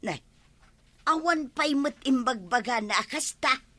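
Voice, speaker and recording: A woman's voice: sits high, lively intonation, measured pace, a bit bright, exaggerated voice. A woman with an Ilocano accent playing a pushy, persuasive village woman in a comedy radio drama. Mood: curious.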